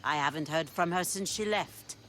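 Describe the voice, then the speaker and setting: A woman's voice: sits low, normal speed, fairly bright tone, slight background noise. A woman playing a self-assured, wry pirate captain of ancient Greece in a video game. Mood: wry, businesslike. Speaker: game character. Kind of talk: video game cutscene acting.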